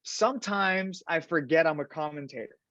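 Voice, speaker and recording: A man, a fight commentator, chatting in an interview and telling lively stories, tagging his sentences with "right?". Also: a little high, normal speed, no echo. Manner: animated storyteller, fillers like "right?". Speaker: podcast guest, fight commentator. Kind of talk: casual interview chat. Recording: dull lo-fi sound.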